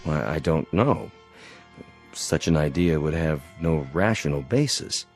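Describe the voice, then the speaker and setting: An actor playing a measured, patient psychiatrist in a radio drama, politely probing and professional. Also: male voice, a bass voice, expressive. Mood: guarded, uneasy. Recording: music under the dialogue.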